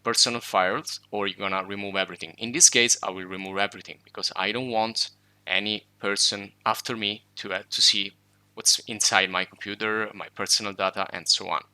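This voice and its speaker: A man whose first language is Italian, explaining computer steps in a relaxed screen-recorded tutorial, with fillers and self-corrections. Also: fairly low pitch, a little fast, smooth, dry recording. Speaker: tech tutorial host.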